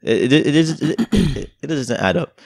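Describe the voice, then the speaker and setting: A man's voice: a little high, animated, moderate pace, darkish tone, no room sound. A young man co-hosting a podcast in a casual, laid-back, joking chat. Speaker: podcast co-host.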